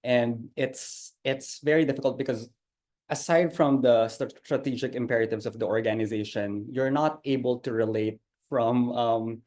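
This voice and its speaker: A man's voice: mid-range voice, steady tone, moderate pace, darkish tone. Man, composed panelist. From Philippines. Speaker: panelist, nurse executive. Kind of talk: measured panel answer.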